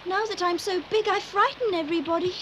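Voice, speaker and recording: A woman's voice: very high voice, brisk, heavy background noise. A woman voicing a curious, polite and emotional young schoolgirl in a cartoon. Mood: indignant. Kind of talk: cartoon voice acting.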